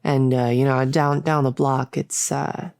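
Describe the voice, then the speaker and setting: A performer playing an earnest, rambling cultist experimenter in a roleplay audio, with casual, halting, awkwardly optimistic delivery, close to the mic. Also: mid-range voice, level tone, gravelly, darkish tone, clear, resonant tone, no room sound. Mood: friendly, coaxing. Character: earnest, rambling, chirpy cultist scientist, gently bossy. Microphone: close, dry studio-style microphone.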